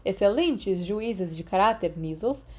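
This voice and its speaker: A man's voice: sits high, expressive, measured pace. A woman voicing a cheerful, encouraging male professor of magical creatures in an audiobook, enthusiastic and instructive, with a Brazilian accent. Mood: delighted.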